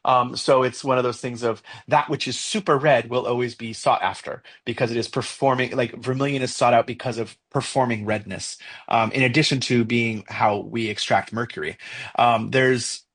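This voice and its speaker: A young man with a Mexican accent, co-hosting a casual podcast discussion, talking in a halting way with many fillers and restarts as he thinks aloud. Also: medium pitch, fairly even intonation, a bit bright. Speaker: podcast co-host.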